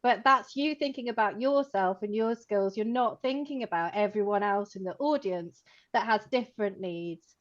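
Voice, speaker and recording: A woman co-hosting an informal chat, talking in a chatty, animated way with lots of "like" and "you know" and laughing as she speaks. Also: mid-range voice, normal speed, few pauses, muffled recording. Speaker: co-host, co-owner of a computing education company.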